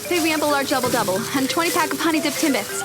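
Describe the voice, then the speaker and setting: A woman's voice: high voice, rapid-fire. A woman playing a stubborn, self-important teenage girl who investigates the paranormal in an audio drama. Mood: bossy, stubborn. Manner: self-important, expressive, exasperated.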